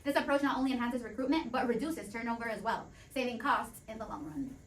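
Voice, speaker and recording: A woman's voice: fairly high pitch, fast talker, a little hiss or hum. A woman giving a scripted debate argument as a panelist in a business role play. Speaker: panelist playing an executive. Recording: roomy, distant from the mic.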